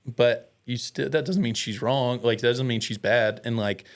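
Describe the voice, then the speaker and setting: A man's voice: medium pitch, fairly even intonation, moderate pace. Earnest American man, casual and slangy. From United States. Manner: informal slangy talk, earnest and self-deprecating. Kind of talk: casual, candid personal storytelling.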